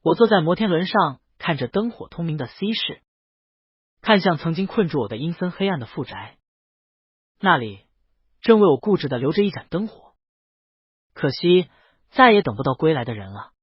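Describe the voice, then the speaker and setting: A man's voice: fairly high pitch, big pitch swings, normal speed, pauses often, breathy edge, no echo, dull audio. A man narrating a scripted first-person story as an audiobook reader.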